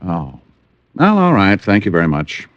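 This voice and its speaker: An actor playing a shrewd, persistent private detective in an old radio drama, on a muffled recording. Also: male voice, sits low, wildly swooping pitch, moderate pace, no echo. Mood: probing, composed.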